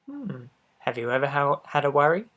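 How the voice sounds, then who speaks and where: A man reads a picture book aloud to young children in a gentle, expressive way, adding little asides straight to the listener. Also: fairly high pitch, moderate pace, dull audio, slight background noise. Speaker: storybook reader for children.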